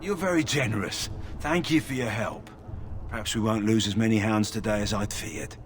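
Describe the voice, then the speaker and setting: An actor playing a grateful but grim kennel master in a fantasy video game. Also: male voice, on the low side, moderate pace, a little hiss or hum, lightly acted. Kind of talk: scripted video game dialogue.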